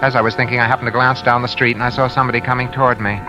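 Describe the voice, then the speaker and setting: A man's voice: mid-range voice, flat delivery, very fast, muffled recording, natural voice. An American man playing a scheming, coldly controlled husband in a 1940s radio suspense drama, terse and domineering. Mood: brooding. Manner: terse, hard-boiled, domineering. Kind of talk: 1940s radio suspense acting, noir narration. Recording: vintage radio drama under loud music and rain.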